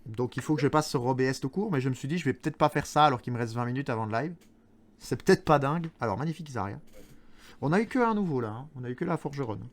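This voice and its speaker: Fast joking Belgian man streaming. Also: mid-range voice, expressive. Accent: Belgian French, Charleroi. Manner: joking, rambling, self-mocking. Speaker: streamer host. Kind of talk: casual gaming stream chat. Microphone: good streaming mic.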